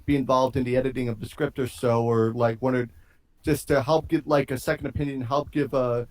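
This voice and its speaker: A man with an average-pitched voice speaks casually as a panelist. He is polite and a little hesitant, picking his way through his thoughts as he gives advice. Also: level tone, fairly quick, a little mellow. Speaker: panelist on a reaction show. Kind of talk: casual chat, giving advice.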